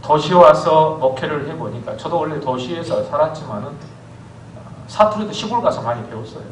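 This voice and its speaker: A man preaching a sermon as pastor, telling stories with lively swings of voice and calling for amens. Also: fairly high pitch, brisk, slight background noise. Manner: animated storytelling preacher, calls for amens. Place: church sanctuary. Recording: echoey church hall from far off.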